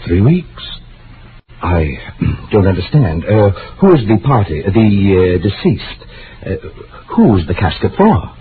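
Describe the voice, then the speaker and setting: A man's voice: deep voice, very animated, slow, heavily acted. An actor playing a fussy, unctuous middle-aged mortician in an old-time radio drama, hesitant and solicitous like a salesman. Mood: flustered. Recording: tinny, noisy old radio recording.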